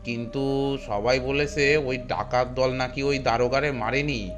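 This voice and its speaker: A man's voice: medium pitch, steady tone, a little slow, natural voice. Man playing a rustic bandit henchman. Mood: curious, uneasy. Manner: hesitant, rustic colloquial speech. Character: anxious, deferential rustic bandit henchman, loyal to boss. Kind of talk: animated horror story dialogue. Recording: music bed behind voice.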